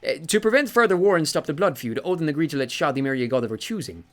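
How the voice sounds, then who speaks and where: A man's voice: fairly high pitch, lively intonation, racing, fairly bright tone, voice pushed up. A man voicing a vain, chirpy old sea god. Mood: delighted. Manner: chirpy, self-satisfied, breezy. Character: vain, cheerful ancient male sea god. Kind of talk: audiobook character voice.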